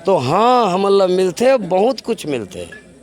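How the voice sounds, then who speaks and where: A man's voice: high-pitched, sing-song, slow. A man with a Chhattisgarhi accent answering an interview as an activist spokesman, emphatic and oratorical, building points by repetition.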